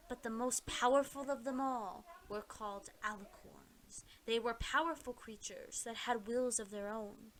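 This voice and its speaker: A woman voicing a calm, grave male celestial attendant, explaining in a measured way in an amateur audio play. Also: on the high side, low-quality recording.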